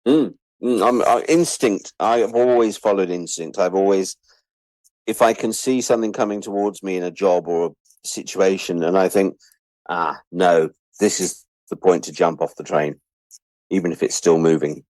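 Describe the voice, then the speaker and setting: A man's voice: low voice, moderate pace, darkish tone, no echo, poor audio. A middle-aged English man chatting reflectively as an interview guest, wry and self-deprecating. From England. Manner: wry, self-deprecating, acts out imagined quotes. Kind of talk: reflective casual chat.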